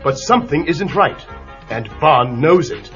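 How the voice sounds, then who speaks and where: A man's voice: on the high side, lively intonation, measured pace, a bit bright. A man narrating a children's storybook adventure in a dramatic, urgent way. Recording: dull lo-fi tape with music.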